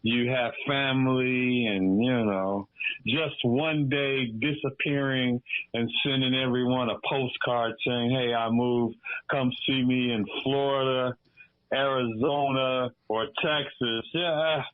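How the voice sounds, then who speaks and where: A man's voice: mid-range voice, fairly even intonation, takes it slowly, dry recording. A man in his fifties from Detroit, chatting casually as a guest on a radio show and dropping "man" into nearly every sentence. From Detroit, Michigan. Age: 50s. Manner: laid-back, says "man" constantly. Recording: phone line.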